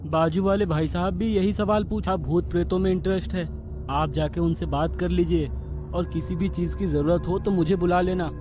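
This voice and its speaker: A man's voice: high-pitched, steady tone, normal speed, over music, natural voice. A man voicing a chatty, superstitious young hotel errand boy, informal and obliging, in a horror cartoon.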